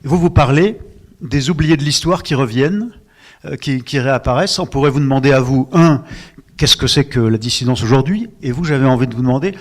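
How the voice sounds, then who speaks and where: A man's voice: mid-range voice, fairly quick. A man moderating a literary panel at a book fair, asking questions in a hesitant way with many restarts.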